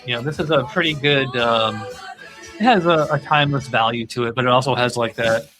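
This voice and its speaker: A male podcast host in a relaxed group chat about music. He rambles and feels his way through his thoughts at a medium pitch. Music plays clearly behind his voice. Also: fairly quick.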